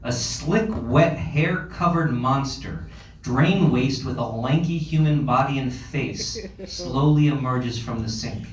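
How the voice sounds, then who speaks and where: A man's voice: mid-range voice, slow, crowd noise. A man narrating stage directions from a script at a live comedy table read. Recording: echoey room, distant mic, noisy.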